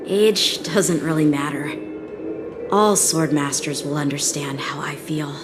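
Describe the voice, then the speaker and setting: A woman's voice: deep voice, fairly even intonation, unhurried, over loud music. A woman voicing an earnest, proud teenage boy swordsman in a video game cutscene. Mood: defensive.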